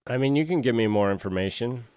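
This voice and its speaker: A man's voice: medium pitch, animated, fast, a little mellow, slight background noise. A man in a casual phone conversation, giving brief, compliant answers as he plays along. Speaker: prank caller playing along with a scammer.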